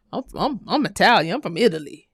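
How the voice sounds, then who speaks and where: A woman's voice: mid-range voice, lively intonation, normal speed, husky, no room sound, studio quality. A woman hosting her own commentary channel, speaking in an impassioned, preachy monologue that repeats phrases and swears freely.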